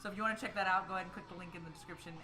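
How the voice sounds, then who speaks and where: A woman's voice: fairly low pitch, steady tone, racing. A tipsy woman co-hosting a movie show in giggly, chatty, casual banter with some swearing. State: tipsy from beer.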